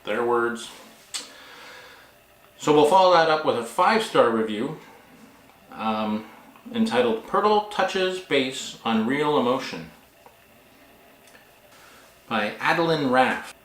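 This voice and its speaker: A man talking casually as a vlog host and author, with a halting, wry, self-deprecating manner. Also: mid-range voice, big pitch swings, a bit bright. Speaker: author and book vlogger hosting. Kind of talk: casual vlog talk, reading reviews aloud. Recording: echoey room.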